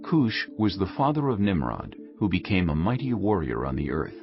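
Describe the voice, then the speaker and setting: A deep-voiced man narrates scripture solemnly and deliberately. His gravelly tone moves expressively over prominent music, on a slightly dull recording. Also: sing-song. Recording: dull audio with loud music behind.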